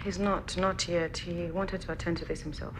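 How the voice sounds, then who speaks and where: An actress playing a composed, courteous young wife who speaks in a measured way. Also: female voice, lowish voice, little pitch movement, noisy background, natural voice. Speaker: wife and lady of the house.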